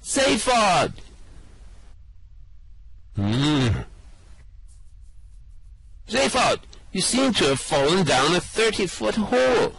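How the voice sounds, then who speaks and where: Anxious Earthman, slow husky voice, man in amateur reading. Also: high voice, very animated, fairly bright tone, dry recording, heavily acted, poor audio. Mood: worried. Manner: nervous, wondering narration of what he sees. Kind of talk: amateur radio play reading.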